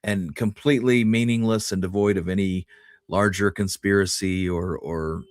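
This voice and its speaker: A man's voice: deep voice, fairly even intonation, normal speed, no echo. A man co-hosting a history podcast, talking casually and thinking things through aloud. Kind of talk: casual conversational discussion.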